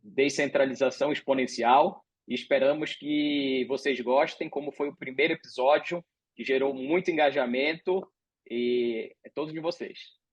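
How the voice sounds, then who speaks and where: A man's voice: mid-range voice, fairly even intonation, brisk, slight background noise. A man co-hosting, giving a short, upbeat introduction to a new episode. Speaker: co-host. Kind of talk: show introduction.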